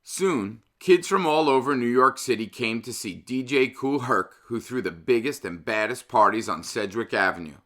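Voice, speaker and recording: Male read-aloud host, 50s, bright forceful voice, mid-pitched, emphatic and deliberate. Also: animated. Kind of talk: expressive children's picture-book read-aloud.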